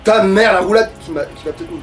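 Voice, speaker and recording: Man, high-pitched voice, casual let's play commentary, exasperated swearing, far from the mic. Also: quick pace, soft background music. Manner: foul-mouthed, exasperated ranting. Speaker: let's player, playing the game. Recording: distant mic, slightly noisy.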